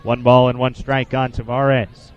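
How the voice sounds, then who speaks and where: A man in his twenties doing live baseball play-by-play for radio, in a measured, descriptive way with statistics between pitches. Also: flat delivery, muffled recording. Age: 20s. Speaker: play-by-play sportscaster.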